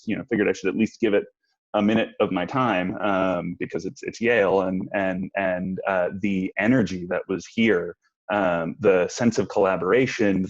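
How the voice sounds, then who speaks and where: A young man, a graduate student, answering casually and somewhat hesitantly in an interview. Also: sits low. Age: young adult. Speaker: theater management graduate student. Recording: slightly muffled interview sound.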